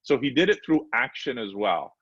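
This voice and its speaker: A man's voice: sing-song, moderate pace, fairly bright tone, dry recording. A man giving a lecture at a faith community program, telling stories earnestly and lifting his voice for the people he quotes. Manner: earnest, storytelling, raises pitch for quoted voices. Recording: dry, slightly dull lo-fi audio.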